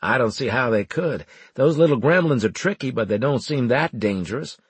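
A man's voice: mid-range voice, expressive, normal speed, husky, no echo, pitched above the performer's own voice. Man playing a brash, folksy joking soldier. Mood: casual. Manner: laid-back, teasing, colloquial.

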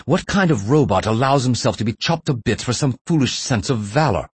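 A man's voice: low voice, normal speed, darkish tone, breathy edge, no echo. A man voicing a young Russian interrogator in an audiobook thriller, scornful, frustrated and menacing, taunting with rhetorical flair. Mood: incredulous contempt.

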